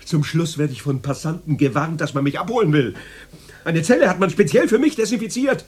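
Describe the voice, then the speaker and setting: An actor playing an anxious, bitter, self-pitying male radio official with a husky, plaintive, complaining delivery in a German radio drama. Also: fairly high pitch, expressive, fairly quick, a little mellow. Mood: plaintive, panicky.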